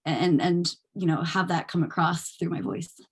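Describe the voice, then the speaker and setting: A woman's voice: fairly low pitch, level tone, normal speed, breathy edge. A woman, an actor, chatting casually in a video call Q&A. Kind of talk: casual Q&A discussion.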